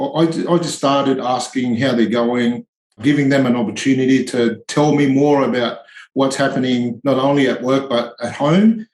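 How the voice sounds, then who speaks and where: A man's voice: medium pitch, darkish tone, echoey room, rough recording. A man in his forties from Tonga, a tradie and charity founder, speaking hesitantly in a podcast interview with many ums and restarts.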